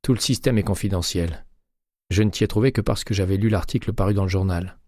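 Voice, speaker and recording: A man reading an audiobook, voicing a determined, quick-thinking woman accomplice with a brisk, conspiratorial manner. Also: fairly low pitch, warm tone, no echo, studio quality, pitched above the performer's own voice, close to an everyday voice. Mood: matter-of-fact.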